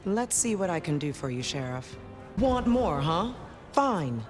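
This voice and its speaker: Low-voiced, domineering vampire woman. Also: sing-song, measured pace, soft background music. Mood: sardonic. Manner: commanding, mocking, casual slangy wording. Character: domineering, teasing, sardonic female vampire.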